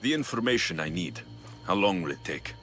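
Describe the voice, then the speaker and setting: A man's voice: sits low, lively intonation, a little fast, fairly bright tone, soft background music. A man playing an intense young ancient Greek mercenary in a dramatic video game cutscene. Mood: earnest, guarded.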